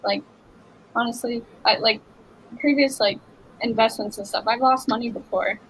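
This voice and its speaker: A woman's voice: fairly high pitch, fairly even intonation, moderate pace, a bit bright, slight background noise, poor audio. A woman co-hosting a trading livestream, chatting casually and saying like a lot. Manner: casual, heavy use of "like". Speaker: livestream co-host.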